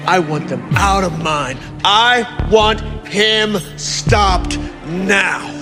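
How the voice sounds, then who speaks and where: An actor playing a domineering, menacing street gang boss in a video game. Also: male voice, high voice, drawn-out words, heavily acted. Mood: angry, territorial. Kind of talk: video game villain acting. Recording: music clearly behind the voice.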